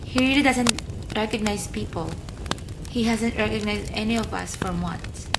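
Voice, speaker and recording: A woman's voice: medium pitch, moderate pace, background noise, lightly acted. An actress playing an emotional, easily wounded woman in a radio play. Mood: subdued, gentle. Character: bitter, hurt young woman, later gentle middle-aged daughter.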